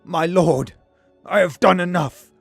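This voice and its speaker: A weary ancient warrior, pleading with dramatic anguish. The voice belongs to a grim audio drama and carries the weight of long suffering. Faint music plays behind it. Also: male voice, high voice, a little slow, vocal fry, slightly rough, voice pushed up, heavily acted. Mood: desperate anguish. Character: weary ancient male warrior begging for death.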